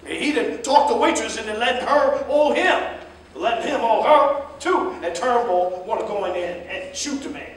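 A man's voice: very high voice, animated, moderate pace. A man acting an older, fatherly American jitney driver in a staged play reading, with a folksy, emphatic, lecturing way of talking. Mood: worked up. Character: older railroad pensioner jitney driver, fatherly, plain-spoken adviser. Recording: distant mic, echoey hall, faint noise.

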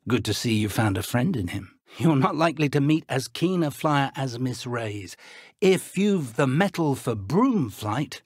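A man playing a kindly, earnest elderly British wizard professor in a video game, with measured, refined, formal phrasing and a weathered voice. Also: mid-range voice, big pitch swings, a little mellow, husky, dry recording, clean studio sound. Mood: fond, wry. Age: older. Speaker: game character voice actor. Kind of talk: video game dialogue acting.

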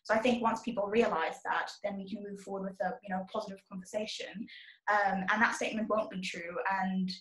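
A woman's voice: medium pitch, steady tone, fairly quick, fairly bright tone. Young woman from zimbabwe, panel on a video call, thoughtful, lots of restarts, roomy sound. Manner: earnest, thoughtful, many restarts.

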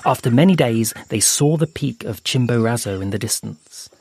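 A man narrating an audio fable in a slow, hushed storytelling manner with dramatic pauses. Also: medium pitch, expressive, very noisy. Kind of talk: scripted audio fiction narration. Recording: soft music underneath.

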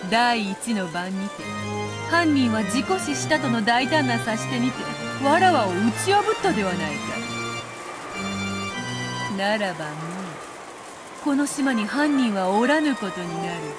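A woman's voice: fairly high pitch, very animated, brisk, loud music behind the voice. A woman voicing a haughty, cruelly gleeful witch in a game, with a pompous, archaic way of talking. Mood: smug, amused.

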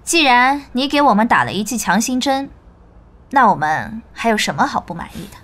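Woman playing a highish-voiced, shrewd investor. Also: big pitch swings, brisk, fairly bright tone. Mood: satisfied. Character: skeptical, shrewd female shareholder. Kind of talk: Chinese audio drama acting.

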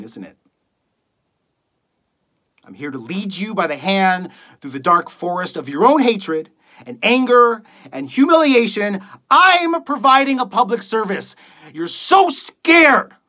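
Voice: expressive, measured pace, pauses often, slightly rough. A performer playing a bitter, contemptuous late-night talk radio host in a scornful, theatrical monologue. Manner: scornful, accusatory, building from low muttering to shouting.

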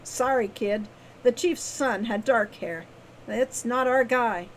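A woman's voice: on the high side, slow. Woman voicing a sarcastic, irritable male thief. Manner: scoffing, deadpan, sarcastic. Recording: clearly noisy background.

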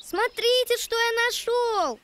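A woman's voice: extremely high pitch, slow, background noise. A woman voicing a curious, polite little child in a children's music cartoon. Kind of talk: animated cartoon character acting.

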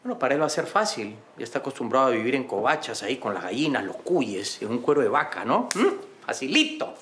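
A condescending Peruvian father who mocks his son. His pitch swings animatedly. Faint room noise sits behind. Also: big pitch swings, fairly quick, a bit bright. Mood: mocking. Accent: Peruvian Spanish. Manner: mocking, condescending. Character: snobbish mocking wealthy father, middle-aged man.

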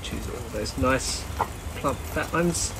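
A man's voice: medium pitch, slow pace. Man chatting while cooking outdoors. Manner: relaxed, chatty, with frequent ums. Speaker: cooking vlogger. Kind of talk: casual cooking commentary. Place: outdoor garden kitchen and rocky bay shore. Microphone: camera mic at medium distance. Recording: outdoor camera audio with loud ambient noise.

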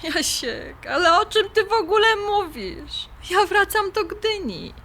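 A woman's voice: very high voice, very animated, a little slow, bright, forceful tone, slight background noise, full character voice. A woman playing a skeptical but fond middle-aged mother being courted, questioning incredulously in an audio drama. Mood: puzzled.

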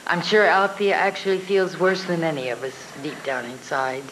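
A woman playing a gentle, motherly older sister who comforts her family in a live radio drama reading. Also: deep voice, normal speed, background noise, lightly acted. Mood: soothing. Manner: soothing.

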